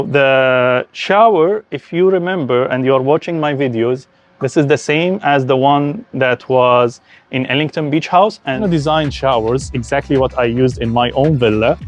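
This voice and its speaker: A man from Syria with a Syrian Arabic accent speaks enthusiastically and conversationally, explaining things as host of an informal garden and villa tour. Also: medium pitch.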